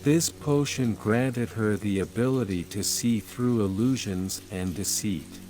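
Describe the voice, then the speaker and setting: A man narrating a scripted bedtime fairytale in a measured, soothing manner. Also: lowish voice, slight background noise. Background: rain and calm music.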